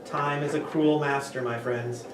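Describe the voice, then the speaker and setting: Middle-aged male presenter addressing a classroom, picked up from afar with clear background noise. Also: a little high, fairly even intonation, a little slow. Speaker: workshop presenter. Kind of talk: teaching talk and scripted reading to a class. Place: large classroom, indoors. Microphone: camera a few meters away. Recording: echoey room, far from mic, noisy.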